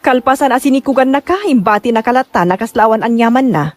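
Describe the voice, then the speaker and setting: A woman's voice: a little high, fast talker, a little mellow, no echo, close to an everyday voice. A woman with an Ilocano accent playing a concerned, outspoken confidante in a melodramatic drama, in an earnest, scolding manner. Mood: indignant. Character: concerned, outspoken woman advising her loved ones. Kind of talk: amateur melodramatic drama acting.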